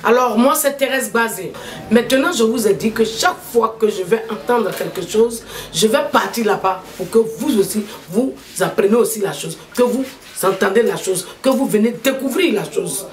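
A woman leads the interview with a loud, lively, teasing delivery. Her voice rings with the echo of the veranda. A faint ambience hums behind her. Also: on the high side, normal speed, distant mic, a little hiss or hum. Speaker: interviewer host. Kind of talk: casual interview. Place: outdoor veranda.

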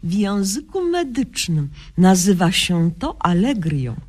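A woman's voice: mid-range voice, very animated, takes it slowly, a little mellow, husky, full character voice. An actress playing a self-important, cheerfully incompetent young woman doctor in a satirical radio sketch, mock-solemn and pompous, mangling learned words. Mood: pompous, unbothered.

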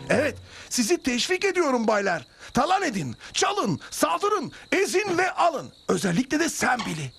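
Cartoon townsman: very high, swooping, theatrical delivery, urging folk on, music underneath. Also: huge pitch swings, normal speed, vocal fry, fairly bright tone, full character voice. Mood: rousing. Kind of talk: Turkish-dubbed TV cartoon acting. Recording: music behind the voice.

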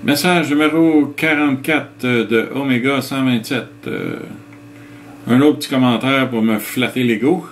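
A middle-aged man with a Québécois French accent reads out viewer comments and answers them in a relaxed, chuckling way, explaining things step by step. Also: medium pitch, unhurried, a little hiss or hum. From Quebec, Canada. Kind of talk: casual chat reading out comments.

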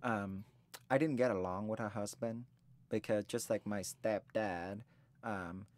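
A man in his twenties from Vietnam with a Vietnamese accent, telling his life story in a candid conversation, earnest and halting, often restarting his sentences. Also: on the low side, darkish tone. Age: 20s. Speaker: interviewee.

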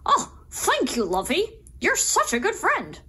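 A woman voicing a sweet, excitable young bear cub in a children's puppet show, childlike and earnest. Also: very high-pitched, unhurried, exaggerated voice. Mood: grateful.

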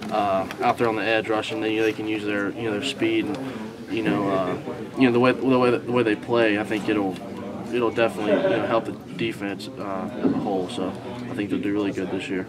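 A young man talks in a slightly low voice, pausing often and filling gaps with fillers as he answers reporters. Handheld recorders sit close to him, and the chatter of a crowded indoor scrum carries behind his voice. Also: flat delivery. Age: young adult. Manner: halting, many fillers and restarts. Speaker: college football linebacker. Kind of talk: post-practice media scrum answers. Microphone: reporters' handheld recorders held close.

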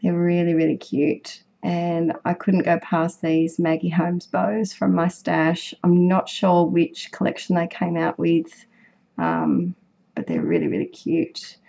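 A young woman with a croaky, sick voice, chatting casually as she narrates her craft project, rambling with fillers and self-corrections. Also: fairly low pitch, fairly even intonation, measured pace, mellow. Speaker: scrapbooking vlogger. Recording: dull lo-fi home recording.

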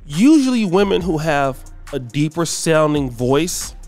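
A middle-aged man hosting a commentary channel, giving a blunt, preachy opinion monologue that climbs in pitch when he gets worked up. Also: sing-song, measured pace, darkish tone, faint music behind.

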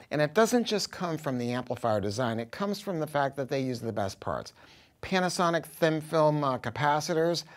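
An American man in his sixties presenting audio gear to camera in a blunt, casual, emphatic way. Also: on the high side. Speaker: audio dealer presenting products. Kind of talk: casual promotional product talk to camera. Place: small acoustically treated listening room.

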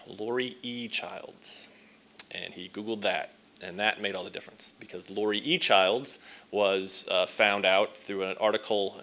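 A man's voice: sits low, bright, forceful tone. A man in his forties giving a conversational talk at a symposium, with many fillers and restarts, speaking from a distance to a stand microphone in a large lecture hall. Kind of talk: conference talk. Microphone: stand mic set at a distance.

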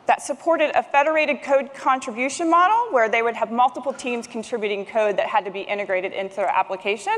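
A middle-aged woman speaks from a conference stage in a fairly high voice. She delivers a prepared presentation, and the big hall adds a long reverb around her words. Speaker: conference speaker, technology manager. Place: large conference stage, indoors. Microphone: headset microphone.

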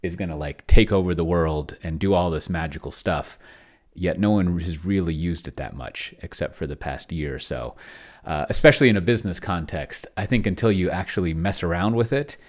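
A man in his forties talking casually on a livestream in a halting, digressive way, close to a desk microphone. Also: low-pitched. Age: 40s. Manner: halting, digressive, self-interrupting. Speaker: streamer. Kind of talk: casual rambling livestream talk. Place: small home office with bookshelves. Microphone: large desk microphone up close.

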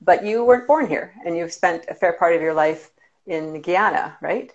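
Middle-aged woman host, low voice, friendly, on a video call. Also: lively intonation, moderate pace, darkish tone, lo-fi sound. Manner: friendly, encouraging listener with frequent affirmations. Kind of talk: casual video-call conversation. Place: indoor room with paintings. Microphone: video call webcam.

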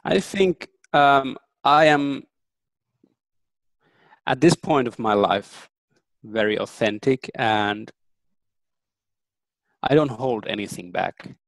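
A man in his thirties, a native German speaker, giving earnest, thoughtful interview answers with frequent pauses and ums. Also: mid-range voice, expressive, vocal fry, no room sound. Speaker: interview guest.

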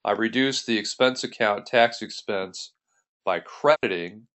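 A man's voice: lowish voice, a little slow, fairly bright tone. A man working as an accounting instructor, narrating a tutorial in a methodical, step-by-step way. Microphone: voice-over on a screen recording.

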